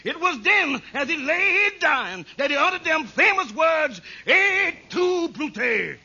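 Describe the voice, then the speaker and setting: An actor playing a grandiose lawyer crony in a 1940s radio comedy, declaiming theatrically like an orator. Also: male voice, extremely high pitch, big pitch swings, slow, creaky, bright, forceful tone, full character voice. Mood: mock solemn. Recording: dull lo-fi old radio recording.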